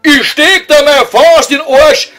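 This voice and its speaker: A man's voice: very high-pitched, unhurried, sharp tone, faint music behind, exaggerated voice. A man voicing a grumpy, tormented old scholar, whining and exasperated, with a Viennese accent in a comic radio sketch. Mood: impatient, angry.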